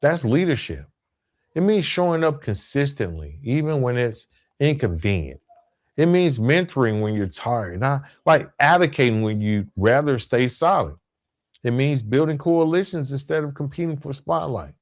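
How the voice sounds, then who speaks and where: Earnest male podcast host, mid-pitched voice. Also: animated, normal speed. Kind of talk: semi-scripted motivational monologue with shout-outs.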